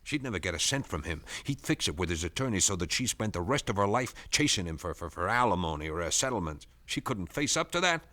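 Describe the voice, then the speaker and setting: A man's voice: deep voice, sing-song, quick pace, hardly any pauses, bright, forceful tone, exaggerated voice. An actor playing a suspicious, scheming man out on bail in a 1970s radio drama, talking with agitated stammers.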